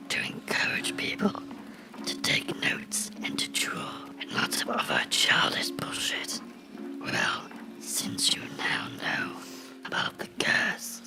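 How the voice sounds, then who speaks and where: A sinister cartoon creation out for revenge, whispers slowly and deliberately over clear music. The menacing whisper is gravelly and swings wildly between low rasps and higher hisses. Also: heavily acted. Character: vengeful fictional cartoon character menacing the fan who drew them. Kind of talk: horror ASMR role-play.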